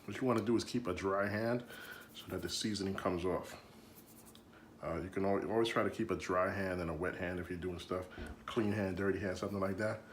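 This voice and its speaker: A man's voice: deep voice, steady tone, brisk, roomy sound, slight background noise. A man hosting a home cooking demonstration, talking in a loose, chatty, jokey way full of fillers. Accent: slips into a Jamaican accent. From Jamaica. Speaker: cooking show host.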